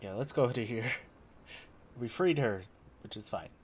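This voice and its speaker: A man's voice: medium pitch, lively intonation, a little slow, slight background noise. A man doing casual gameplay commentary as a streamer, reacting aloud informally and swearing freely. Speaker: game streamer.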